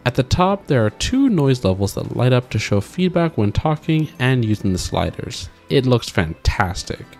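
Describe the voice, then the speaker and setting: A man's voice: mid-range voice, big pitch swings, moderate pace, hardly any pauses, a little mellow. Man narrating a tech review over music. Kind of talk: scripted product review voice-over. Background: background music.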